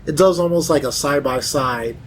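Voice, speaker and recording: A man's voice: on the high side, normal speed, faint music behind. A man chatting casually as a panelist, with a jokey, interjecting way of talking. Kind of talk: casual chat.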